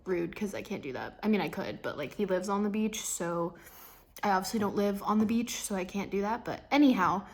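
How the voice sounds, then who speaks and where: A woman's voice: mid-range voice, brisk. A young adult woman talking casually to camera in a personal vlog, rambling and confiding, with thoughts that trail off. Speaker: vlogger.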